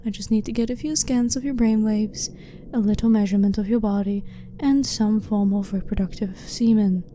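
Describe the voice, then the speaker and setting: Female alien scientist acted by a woman, blunt and clinical yet harmless, very soft dark tone, ASMR sci-fi roleplay over noticeable background ambience. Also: mid-range voice, fairly even intonation, lightly acted. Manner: blunt, formal, matter-of-fact, measured. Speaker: ASMR voice performer. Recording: clearly noisy ambient background.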